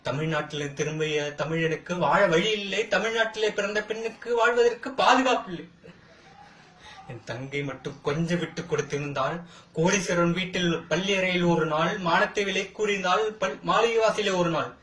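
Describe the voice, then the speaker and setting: A man's voice: high-pitched, a bit bright. A man acting a wronged, defiant brother who pleads his case in a declamatory, rhetorical Tamil film courtroom monologue. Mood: anguished pleading. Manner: declamatory, rhetorical, impassioned, with dramatic pauses. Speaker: amateur actor practising. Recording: echoey room.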